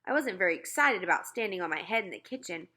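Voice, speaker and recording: A woman narrating a children's novel as an expressive read-aloud. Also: mid-range voice, quick pace.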